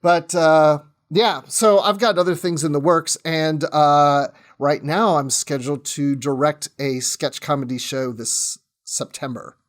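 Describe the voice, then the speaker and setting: A man's voice: fairly high pitch, steady tone, unhurried, few pauses. A talkative man chatting casually as a podcast guest, often breaking off and restarting his own sentences. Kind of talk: casual chat.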